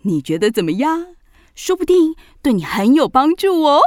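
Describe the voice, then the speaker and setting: A woman's voice: high voice, sing-song, normal speed, no room sound, clean studio sound. A woman voicing a carefree, doting witch aunt with a playful, exaggerated way of talking, in a children's radio play. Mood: breezy, reassuring. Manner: exaggerated, teasing.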